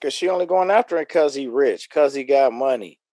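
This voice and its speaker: A man's voice: mid-range voice, animated, brisk, warm tone, no room sound, studio quality. A man with an American accent co-hosting a podcast, talking casually and bluntly and posing rhetorical questions. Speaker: podcast co-host. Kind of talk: casual opinionated panel talk.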